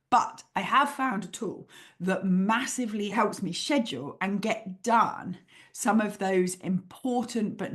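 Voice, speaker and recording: A woman hosting a podcast as a PhD life coach, her voice a little croaky after flu, talking in an upbeat, chatty way with frequent laughs. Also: on the low side, fairly bright tone. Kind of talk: casual solo podcast advice.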